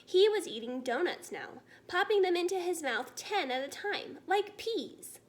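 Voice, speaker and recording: A woman reading a children's story aloud as an expressive narrator. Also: very high voice, measured pace.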